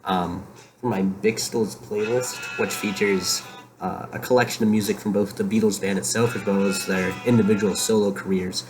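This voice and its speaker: A young man hosting a rambling solo monologue from a room in a shared house, talking quietly and musing aloud with lots of fillers. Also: fairly low pitch, steady tone, moderate pace. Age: young adult. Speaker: podcast host. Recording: quiet home recording with music behind. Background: faint music playing.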